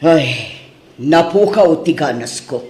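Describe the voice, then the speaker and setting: Mabagal magsalitang istriktang ina. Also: female voice, deep voice, lively intonation, slow, a little mellow. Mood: disapproving. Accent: Ilocano. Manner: blunt, drawling. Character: stern, disapproving, snobbish older mother. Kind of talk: radio drama acting. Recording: echoey room sound.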